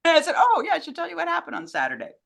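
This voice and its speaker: A woman's voice: extremely high pitch, wildly swooping pitch, rapid-fire, vocal fry, fairly bright tone. An American woman co-hosting a show, chatting casually and telling things in an informal, anecdotal way. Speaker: co-host fielding fan questions.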